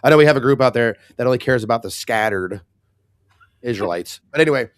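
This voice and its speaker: Hoarse raspy man, dry sound. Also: mid-range voice, lively intonation, quick pace, no room sound. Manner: explanatory, wry, sarcastic asides. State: hoarse, recovering lost voice. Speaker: co-host. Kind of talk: casual livestream Bible discussion.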